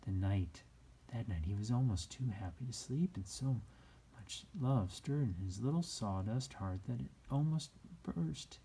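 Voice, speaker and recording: Husky, lively male storyteller. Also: mid-range voice, a little slow, low-quality recording. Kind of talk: expressive children's read-aloud narration.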